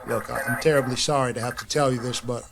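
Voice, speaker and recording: A man's voice: mid-range voice, steady tone, fast talker, gravelly, close to an everyday voice. A man acting in an audio drama as a formal, apologetic investigator, official and courteous, with crowd noise behind. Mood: apologetic, solemn.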